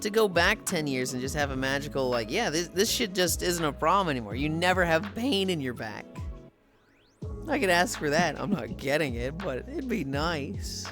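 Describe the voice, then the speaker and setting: Field. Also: female voice, low-pitched, normal speed, over music. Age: 30s. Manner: animated, swears freely, laughs through rants. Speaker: streamer. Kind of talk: casual livestream chat.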